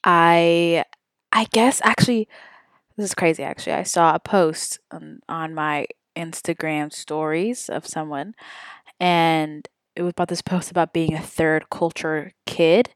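A woman's voice: low voice, steady tone, measured pace, no room sound, studio quality. A woman in her twenties hosting her own podcast, rambling casually with lots of ums and self-corrections. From Saudi Arabia. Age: 20s. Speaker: podcast host. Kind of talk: casual solo podcast chat.

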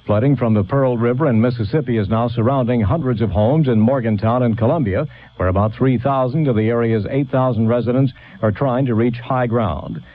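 A male radio news anchor delivers a formal, measured bulletin. His pitch sits in the middle range. The recording is dull, old lo-fi radio.

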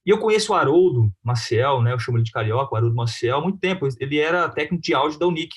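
A man with a Brazilian accent talking informally and haltingly in an interview about his own work, often adding little tag questions. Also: on the high side, lively intonation, husky. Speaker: film director being interviewed.

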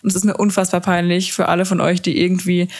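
A woman's voice: lowish voice, level tone, fast. A woman in her twenties hosting a podcast, chatting casually and self-deprecatingly and mixing in English words. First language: German. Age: 20s. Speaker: podcast host. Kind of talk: casual chat.

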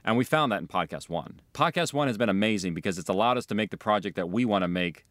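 A man with a lowish voice explains his work as a director. He speaks in an earnest, conversational interview style. The recording is dry and close. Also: animated, fast talker, fairly bright tone, no room sound. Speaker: audio drama creator and director.

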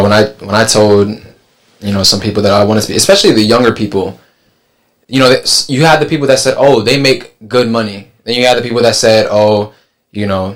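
A man from San Juan, Puerto Rico, a barber on a podcast panel, speaking in a measured way with pauses. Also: on the low side, rough recording. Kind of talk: casual panel chat.

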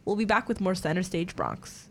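A woman's voice: on the low side, huge pitch swings, moderate pace, music in the background. A woman hosting a radio interview show, asking questions and reading the station break. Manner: brief encouraging interjections, reads station breaks.

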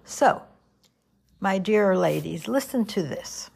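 An older woman hosting a podcast, talking to her listeners in a gentle, earnest, motherly way with a soothing voice. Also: mid-range voice, animated, takes it slowly, vocal fry, breathy edge. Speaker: podcast host. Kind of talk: reflective monologue podcast.

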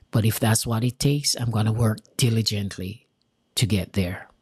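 A Jamaican-accented man from Jamaica giving an earnest, deliberate motivational talk straight to his viewers, repeating key lines for emphasis. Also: on the low side, darkish tone, slightly rough, no room sound, clean studio sound. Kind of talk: motivational direct-to-camera talk.

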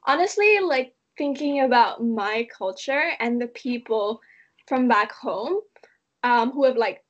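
A woman's voice: a little high, lively intonation, measured pace, dull audio. A young woman from Malaysia talking casually in an interview, with lots of "like" fillers. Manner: chatty, frequent "like" fillers.

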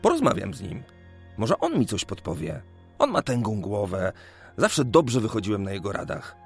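An actor playing an ardent young surveyor in love in a historical radio drama, speaking tenderly and urgently. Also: male voice, fairly low pitch, sing-song, normal speed, creaky, slightly rough, faint music behind, full character voice. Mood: planning, hopeful. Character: ardent young surveyor reassuring his secret sweetheart.

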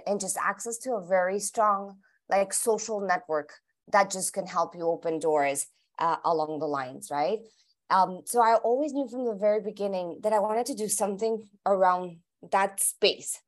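Woman, from Colombia, Spanish native, lowish voice, halting with repeated words, interview guest. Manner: many restarts and repeated words, thinking aloud. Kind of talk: interview answers.

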